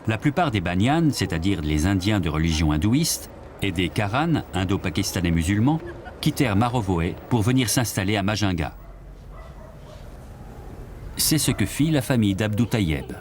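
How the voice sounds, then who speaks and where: A man giving scripted documentary narration in a measured, even delivery. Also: lowish voice, slight background noise.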